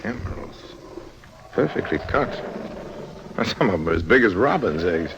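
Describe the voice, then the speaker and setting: A man's voice: deep voice, sing-song, moderate pace, fairly bright tone, a little hiss or hum, exaggerated voice. A man acting a suave, roguish con artist in an old-time radio drama, wry and nonchalant. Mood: smooth. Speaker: lead actor and narrator. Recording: dull old radio recording.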